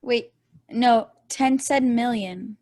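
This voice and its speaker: A woman's voice: a little high, slow, darkish tone. A woman playing an earnest, curious and easily puzzled space probe, hesitant and conversational, in a table read. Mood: baffled. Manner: hesitant, questioning.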